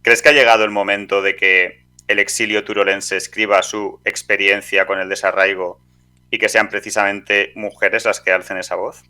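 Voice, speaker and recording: Bright-voiced Spanish man interviewing, fairly low pitch. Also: level tone, normal speed. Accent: Spanish from Spain. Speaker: radio show interviewer. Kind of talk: prepared interview questions with casual banter.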